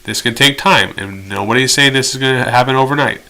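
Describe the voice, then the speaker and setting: A man's voice: mid-range voice, a little fast, bright, forceful tone, poor audio. A man giving an explanatory training lecture as an instructor, direct and instructional with conversational asides. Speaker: instructor in behavior therapy training.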